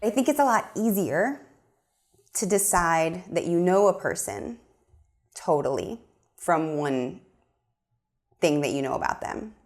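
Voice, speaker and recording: A woman with a notably low voice talks through her ideas in a studio interview. She is a young adult from Miami, earnest and reflective, and she often pauses on an um. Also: a little mellow. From Miami, USA. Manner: thoughtful, earnest, with fillers. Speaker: filmmaker guest. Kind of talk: interview conversation.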